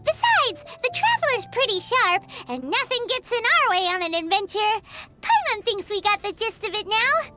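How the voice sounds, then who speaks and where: A woman's voice: very high voice, big pitch swings, music in the background. A woman voicing a tiny, eager fairy companion in a video game who talks about herself in the third person. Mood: insistent. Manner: quick, bouncy, insistent.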